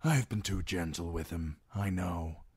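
A man voicing a sly, courteous lord in a fantasy audiobook, speaking in a mild, measured, apologetic way. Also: low voice, very animated, slightly rough, no room sound, exaggerated voice. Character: smooth, soft-spoken, sly, courteous lord.

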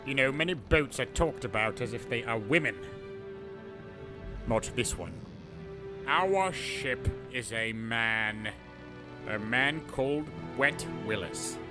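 A man's voice: mid-range voice, slow pace, pauses often, music in the background, lightly acted. A man voicing a pompous, boastful medieval English knight and sea captain for a cartoon, with grandiose, archaic, declamatory delivery. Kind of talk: theatrical cartoon character acting.

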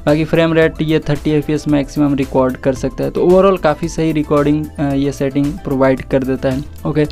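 An Indian man narrates a casual tech walkthrough in a slightly high voice with very little melodic variation. He mixes English words into his speech and talks straight to viewers. Faint music plays behind him. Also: flat delivery, brisk, a little mellow. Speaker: tech YouTuber, host. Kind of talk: casual phone feature walkthrough voice-over.

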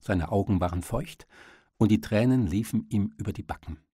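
A man narrates an audiobook with dramatic, literary delivery. His voice is low, mellow and husky, recorded close and dry. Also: moderate pace, no room sound.

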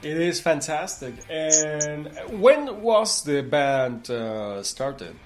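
Slow, effusive male radio interviewer. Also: a little high, very animated. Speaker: radio talk show host. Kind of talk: interview with musicians. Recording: music playing behind the voice. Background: music with guitar.

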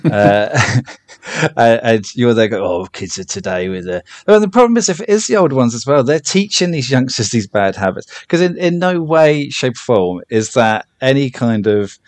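A man's voice: mid-range voice, sing-song, moderate pace, no room sound. A British man hosting a podcast in a casual, humorous chat. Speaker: podcast host.

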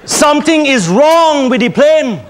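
A man's voice: very high-pitched, animated, a little slow, some chatter behind. A middle-aged man with a Trinidadian accent, playing a nervous, earnest first-time traveller in a comedy sketch on a theatre stage. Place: theatre stage with live audience. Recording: stage recording with audience laughter.

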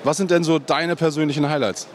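A man's voice: fairly high pitch, steady tone, fairly quick. A middle-aged man reporting from a convention hall, interviewing guests with a handheld mic and chatting between questions with many fillers. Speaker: event reporter and host. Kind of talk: on-site event interviews and wrap-up to camera. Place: large indoor convention hall. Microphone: handheld microphone.

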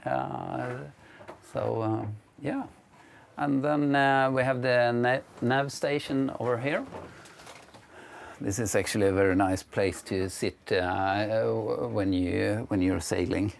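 Swedish guy in his 50s showing off his sailboat, low gravelly voice, slow and halting with lots of uhs, lapel mic in a wooden cabin. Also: a little hiss or hum. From Sweden. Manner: hesitant, many "uh" fillers, friendly. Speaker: sailing vlogger giving a boat tour. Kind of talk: casual guided tour. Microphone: clip-on lapel mic.

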